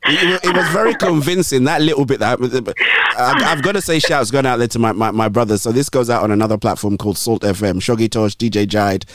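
A man's voice: mid-range voice, fast talker, hardly any pauses, fairly bright tone. A man hosting a gospel radio interview from a studio, often restarting his words and encouraging his guest in a preachy manner. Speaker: radio show host.

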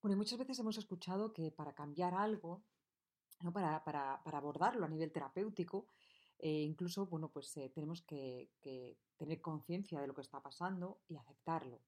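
A woman's voice: deep voice, brisk, darkish tone. A woman interviewer who asks questions and rephrases them as she goes.

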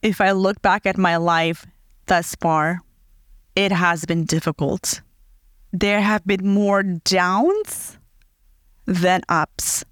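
A woman in her thirties from Fiji hosting her own podcast, speaking in an earnest, heartfelt way and slowing down to dwell on her words. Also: on the low side, steady tone, a bit bright, dry recording, clean studio sound. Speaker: podcast host. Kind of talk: reflective personal monologue.

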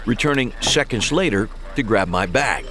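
A man's voice: fairly low pitch, expressive, normal speed. A man reading scripted first-person mystery narration with wry, dry humor.

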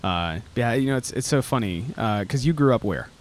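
A man's voice: mid-range voice, lively intonation, brisk. A man from Florida hosting a casual chat, rambling and peppering his talk with "like" and "you know". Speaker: interviewer, host. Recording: clear background noise.

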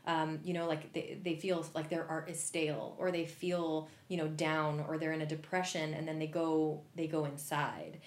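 A woman's voice: sits low, flat delivery, normal speed, hardly any pauses, echoey room. A woman hosting an interview, chatty and enthusiastic, full of "like" and "you know". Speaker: interview host. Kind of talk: casual interview.

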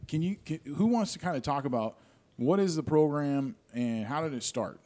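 A man's voice: on the high side, expressive, moderate pace, mellow. A man hosting a casual interview, friendly and chatty, laughing easily and using plenty of fillers. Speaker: podcast host.

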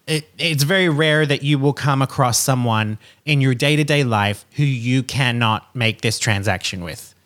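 Man, casual chat, animated storyteller, laughs often, mid-pitched voice. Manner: talkative and animated, quick to laugh.

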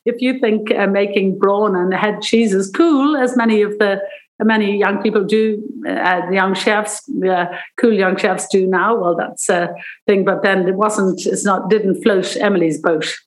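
A woman's voice: medium pitch, fairly even intonation, measured pace, poor audio. An Irish woman in her seventies, a cooking school founder telling rambling stories in an interview and acting out the voices she quotes. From Ireland. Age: 70s. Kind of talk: interview, anecdotal storytelling.

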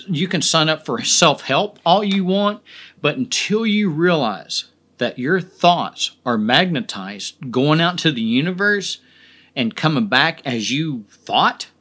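A man's voice: a little high, fairly bright tone. A middle-aged man hosting his own podcast, talking casually with a lot of swearing, restarts and emphatic repetition. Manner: profane, rambling, emphatic with many restarts. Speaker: podcast host. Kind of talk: casual solo podcast monologue.

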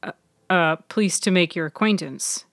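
A woman voicing a calm, attentive man who asks measured, probing questions in a story podcast. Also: lowish voice, dry recording, clean studio sound. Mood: polite. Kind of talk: serial fiction audio storytelling.

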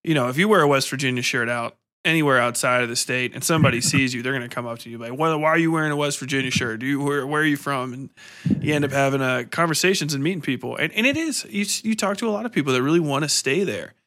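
A man's voice: mid-range voice, fast, bright, forceful tone. A man in his mid-thirties with an American accent, chatting casually and in a rambling, informal way as an interview host. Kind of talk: casual chat.

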